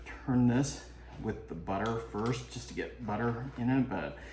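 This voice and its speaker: A man's voice: medium pitch, animated, measured pace, a little mellow, reverberant, a little hiss or hum. A man chatting casually as he cooks in his home kitchen, laid-back and swearing now and then. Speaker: home cook vlogger. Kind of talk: casual cooking narration.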